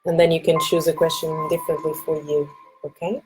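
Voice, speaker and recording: Soft-spoken Portuguese woman reading cards. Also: low voice, level tone, brisk, over music. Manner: soft, reassuring, often asks "okay?" and laughs. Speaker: tarot reader and live stream host. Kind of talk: live stream card reading.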